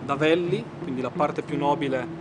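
A man's voice: fairly high pitch, normal speed, noisy background. A man explaining in a formal, methodical way for a documentary. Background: music.

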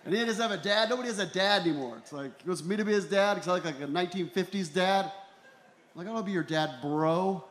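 Guy in his 40s doing standup on a stage mic, kinda high voice, dry self-mocking bits, pretty echoey room. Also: quick pace, a bit bright. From Ecuador and El Salvador. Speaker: stand-up comedian. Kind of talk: stand-up comedy routine. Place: small comedy venue in a tent, on stage. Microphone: handheld stage microphone. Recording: roomy live comedy club sound.

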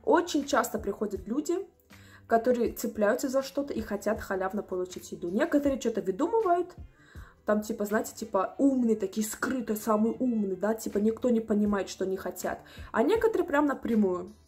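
A young woman in her twenties telling a story to the camera from a small room at home, animated and indignant. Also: fairly high pitch, fairly quick, faint music behind. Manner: animated, indignant, acts out customers' voices. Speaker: vlogger.

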